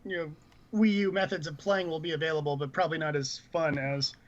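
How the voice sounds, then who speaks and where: High-pitched male podcast co-host, opinionated rambling gamer chat, muffled lo-fi audio. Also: fairly even intonation, fairly quick. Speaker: podcast co-host, gaming news reporter. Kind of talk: casual panel chat about video games.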